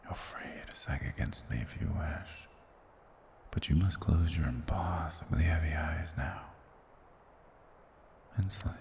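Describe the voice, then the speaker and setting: A man voicing a sinister, soothing forest spirit in a fantasy ASMR roleplay, speaking in a hushed, formal, hypnotic and coaxing manner. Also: sits low. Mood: commanding, lulling. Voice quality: gravelly, breathy whisper.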